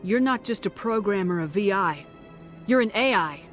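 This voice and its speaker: A woman's voice: mid-range voice, expressive, normal speed, faint music behind, lightly acted. A woman playing a composed, firm human military commander in a video game, direct and probing. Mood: firm, questioning. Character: female human space soldier commander, composed, firm, diplomatic.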